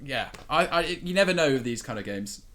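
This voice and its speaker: A man's voice: medium pitch, animated, brisk, a bit bright. A man on a football podcast panel, chatting casually about matches from his bedroom. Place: at home in a bedroom, on a remote call.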